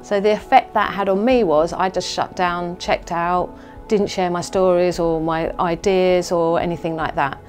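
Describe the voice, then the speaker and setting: A woman's voice: on the low side, steady tone, moderate pace. A middle-aged British woman telling a personal anecdote conversationally in an interview. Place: indoor lounge on a sofa. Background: music.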